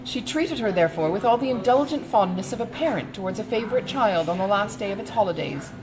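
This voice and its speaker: A woman narrates a classic novel in a middle-pitched voice with plenty of melodic movement. Her storytelling is measured and expressive, following the long literary sentences with care. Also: crowd noise, rough recording. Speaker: audiobook narrator.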